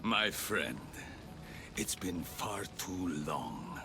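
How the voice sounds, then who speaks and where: Game villain: older order leader, low gravelly voice, unhurried calm threat, noisy background. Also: male voice, heavily acted. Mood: calm menace. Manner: slow, deliberate, calmly menacing. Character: cold, menacing older male leader of a secret order. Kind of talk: dramatic video game acting. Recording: noisy, with ambient sound behind.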